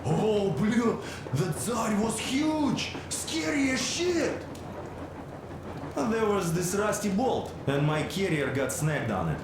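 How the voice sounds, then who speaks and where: A man's voice: sits high, sing-song, heavy background noise, exaggerated voice. A man playing a boastful, joking soldier in a video game, spinning a tall tale with teasing, theatrical timing. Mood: animated, joking. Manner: theatrical, teasing, drawn-out storytelling. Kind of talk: video game cutscene banter and storytelling. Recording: very echoey, music and rain behind.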